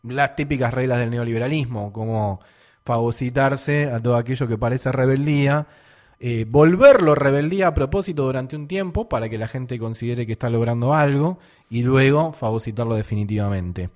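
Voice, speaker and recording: A man's voice: mid-range voice, normal speed, darkish tone. A man with an Argentine accent, hosting a radio show and commenting on music in a conversational way. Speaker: radio host.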